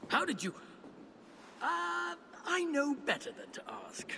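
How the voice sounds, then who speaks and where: An actor playing an older dwarf smith, learned and earnest, speaking formally and with nervous deference in a video game. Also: male voice, very high voice, huge pitch swings, slow pace, vocal fry, sharp tone, a little hiss or hum, exaggerated voice. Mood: nervous, apologetic. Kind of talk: video game cutscene acting.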